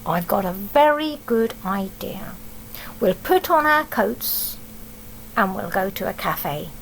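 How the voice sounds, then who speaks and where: A woman's voice: medium pitch, lively intonation, takes it slowly, gravelly, a bit bright, a little hiss or hum, voice pushed down, heavily acted, rough recording. A woman voicing a cheerful, practical father in a children's storybook, upbeat and decisive.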